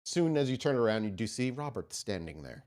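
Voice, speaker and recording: A man working as game master, improvising descriptive, expressive narration for a tabletop game. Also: mid-range voice, brisk.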